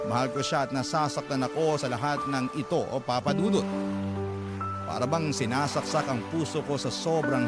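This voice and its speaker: A man narrating a love letter in the first person for a radio drama, sentimental and anguished, with drawn-out pauses. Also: on the high side. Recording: prominent music bed. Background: loud dramatic music.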